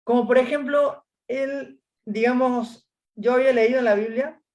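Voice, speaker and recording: A man's voice: very high voice, fairly even intonation, normal speed, darkish tone, dull audio. A man from Córdoba with an Argentine accent, telling his story as a guest in a casual interview, hesitating with many fillers. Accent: Argentine Spanish.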